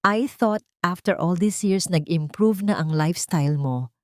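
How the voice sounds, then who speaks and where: A woman's voice: fairly low pitch, a little slow, a little mellow, dry recording, close to an everyday voice. Woman acting a haughty, sneering rich socialite. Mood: mocking, haughty. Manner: mocking, condescending, Taglish code-switching.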